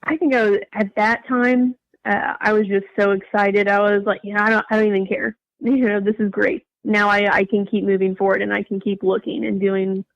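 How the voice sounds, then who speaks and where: A woman's voice: medium pitch, little pitch movement, brisk, gravelly, hardly any pauses, no echo, dull audio. A young adult woman telling her personal story casually as an interview guest.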